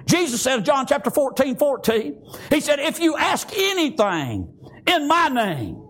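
A man's voice: very high-pitched, huge pitch swings, a little slow, fairly bright tone. An older man with a Southern American accent preaching a fervent, folksy church sermon, punctuated with frequent amens and exclamations of praise.

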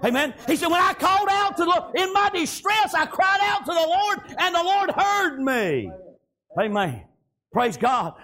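A man's voice: extremely high pitch, bright, forceful tone. A man preaching a fervent revivalist sermon, impassioned and exhorting, punctuating phrases with amen and praise God.

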